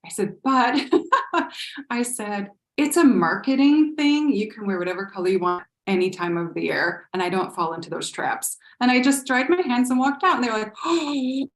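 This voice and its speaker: Lively american lady telling stories on a panel, laughs lots, echoey room. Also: fairly high pitch, normal speed. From United States. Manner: animated, laughs often, storytelling. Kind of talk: casual panel discussion.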